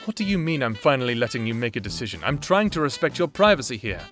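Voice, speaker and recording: A man playing an earnest, reserved private investigator in an anime roleplay audio drama, speaking in measured, formal phrases. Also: mid-range voice, very animated, a bit bright, soft background music. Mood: flustered. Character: earnest male private investigator, reserved, secretly fond of client.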